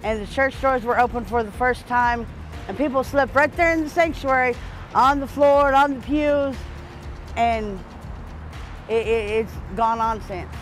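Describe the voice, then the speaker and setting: An older woman telling her story plainly and loudly in an outdoor interview, often repeating words as she starts a thought. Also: fairly high pitch, measured pace, faint music behind, low-quality recording. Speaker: church volunteer. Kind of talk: personal testimony interview. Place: outdoors in front of a church.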